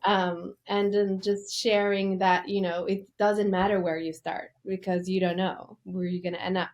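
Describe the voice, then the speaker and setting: A woman's voice: mid-range voice, level tone, normal speed, darkish tone, dull audio. Brief: woman from Norway, guest author, enthusiastic storyteller, mid-range voice. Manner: enthusiastic storytelling, loose fillers. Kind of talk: interview.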